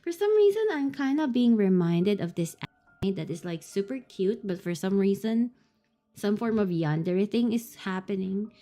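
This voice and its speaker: A woman's voice: mid-range voice, expressive, normal speed, mellow. A woman streaming a horror visual novel, reading the on-screen text aloud between giggles and startled swearing. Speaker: streamer playing a horror game.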